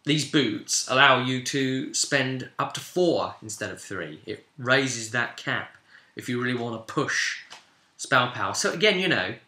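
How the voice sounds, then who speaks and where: A British man with a very bright, sharp voice talks through a role-playing session in an echoey room. He tells it casually as the game master, stopping to explain with asides. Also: medium pitch, animated, measured pace. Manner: informal storyteller, explanatory with frequent asides.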